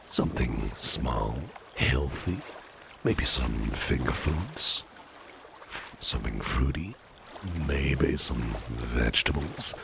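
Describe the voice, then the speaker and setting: A man playing a genial, attentive boss in an ASMR roleplay, unhurried and coaxing, often repeating his phrases. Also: a bass voice, exaggerated voice. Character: genial, attentive male boss, courting his dinner date. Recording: clearly noisy background.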